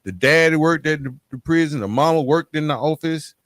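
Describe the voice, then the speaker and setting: A man's voice: on the high side, fairly quick, a bit bright, no echo. A middle-aged man hosting a podcast, talking conversationally and emphatically, often checking in with tag questions. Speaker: podcast host.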